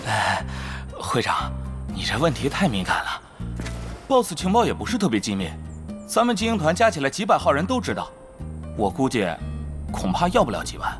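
一名男演员在广播剧中饰演忠心、爱猜测的公会副手，说话恭敬而生动。. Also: male voice, mid-range voice, wildly swooping pitch, normal speed, music in the background. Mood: hesitant, puzzled. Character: loyal, eager male guild lieutenant, speculative sidekick. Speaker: voice actor. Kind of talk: Chinese audio drama acting.

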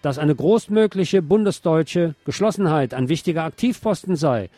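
A man's voice: on the high side, lively intonation, moderate pace, warm tone, no echo. A man hosting a news show, reading press articles aloud and adding sardonic, emphatic commentary. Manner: sardonic asides, emphatic repetition.

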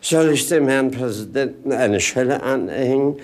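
An actor playing a cheeky, idle, punning companion in a 1950s German radio play, with theatrical, singsong clowning. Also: male voice, medium pitch, lively intonation, moderate pace, natural voice. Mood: mock solemn.

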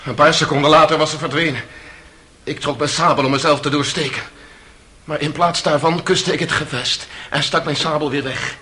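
An actor playing a passionate, proud and anguished defendant in a radio drama, speaking theatrically between hush and outburst. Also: male voice, on the high side, steady tone, normal speed. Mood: rueful. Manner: theatrical, swinging from hushed to outburst. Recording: noisy old radio drama recording.

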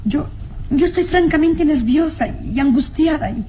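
A woman's voice: sits high, lively intonation, normal speed, mellow, a little hiss or hum. An actress playing a sensitive, anxious young noblewoman in a radio drama, with a hesitant, emotional delivery. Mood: nervous, distressed. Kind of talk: radionovela acting. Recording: vintage radio drama with music behind.

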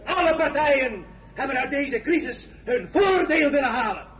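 An actor playing an embattled, defiant general who addresses parliament in grandiose, declamatory phrases in an audio drama. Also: male voice, very high-pitched, lively intonation, slight background noise, poor audio. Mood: defiant, aggrieved. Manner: declamatory, halting public oratory. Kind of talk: theatrical political speech in audio drama. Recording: loud crowd clamour behind.

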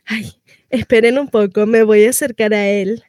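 A woman's voice: fairly high pitch, fairly even intonation, normal speed, darkish tone, dry recording, clean studio sound, natural voice. An actress with a Chilean accent playing a frantic, sardonic pregnant woman in an exclamatory, melodramatic theatrical monologue. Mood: coaxing, mocking. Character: frantic, sardonic pregnant woman going through labour and motherhood.

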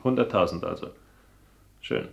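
An actor playing a proud, ruthless industrialist with a commanding, defiant manner in a radio drama. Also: male voice, low-pitched, normal speed, gravelly, lo-fi sound. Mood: commanding, urgent. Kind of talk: 1970s German radio drama acting.

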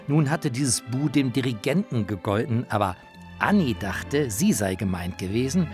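A man narrating a scripted radio feature as its presenter, in an explanatory storytelling style. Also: mid-range voice.

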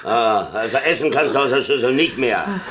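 A man's voice: mid-range voice, expressive, normal speed, fairly bright tone, close to an everyday voice. A man playing a defiant, streetwise German prisoner in a radio play, with a dry, blunt way of talking. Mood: agitated, loud. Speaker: actor in a radio drama.

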